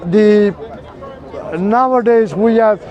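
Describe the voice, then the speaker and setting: A man's voice: high-pitched, slow pace, darkish tone, muffled recording, a faint crowd. An older man talking earnestly with a passer-by on a city street, explaining his views with many fillers. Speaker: street preacher. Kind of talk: street conversation. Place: busy city street outdoors.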